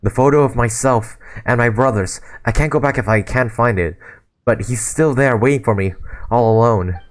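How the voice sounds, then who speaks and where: A hoarse man reading aloud the lines of a troubled young female soldier who is searching for her lost brothers. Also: mid-range voice, moderate pace, natural voice. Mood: distressed. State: hoarse from a sore throat.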